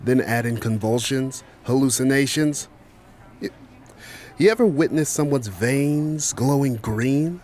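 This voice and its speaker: A man's voice: medium pitch, measured pace, darkish tone, close to an everyday voice. A man acting as a blunt, wary manager in an audio drama, speaking emphatically. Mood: grim, defensive. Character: blunt, wary male manager, fearful of mutants. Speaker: general manager.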